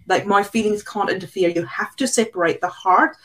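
South African woman, candid and brisk. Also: mid-range voice, fairly bright tone. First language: not English. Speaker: guest, cleaning business coach. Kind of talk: conversational interview answers.

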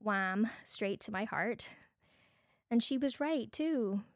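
A woman's voice: medium pitch, expressive, slow pace, no echo, studio quality. Earnest woman with a Bajan accent. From Barbados. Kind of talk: devotional teaching talk from notes, with personal anecdotes.

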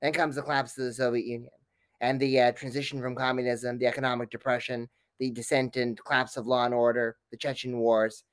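A man's voice: mid-range voice, flat delivery, fairly quick, smooth, no room sound. Academic man explaining, dry close sound. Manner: fluent, academic, detailed. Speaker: academic expert guest. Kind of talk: interview analysis, explanatory.

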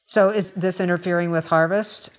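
An American woman co-hosting a conversational podcast, speaking reflectively and haltingly, repeating words as she goes. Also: fairly low pitch, fairly even intonation. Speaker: podcast co-host. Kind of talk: conversational current-events discussion.

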